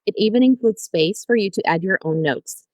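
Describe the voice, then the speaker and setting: A woman's voice: mid-range voice, brisk, a little mellow, no echo. A woman from Brazil with a Brazilian Portuguese accent, hosting a podcast interview in a friendly, chatty way, laughing often. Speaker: podcast host.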